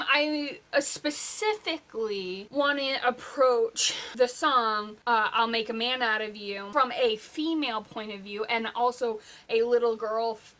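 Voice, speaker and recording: A young woman hosting a pop culture vlog, chatting casually in an animated, halting, informal way with emphatic asides. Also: on the high side. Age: young adult. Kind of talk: casual opinionated vlog chat.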